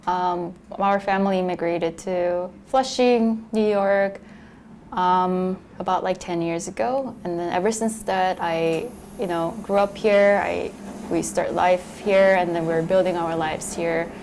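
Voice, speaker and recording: A woman's voice: on the low side, fairly even intonation, measured pace. Hesitant young woman, faint room noise. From Korea. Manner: hesitant, many fillers. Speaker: documentary interviewee. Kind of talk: casual testimony.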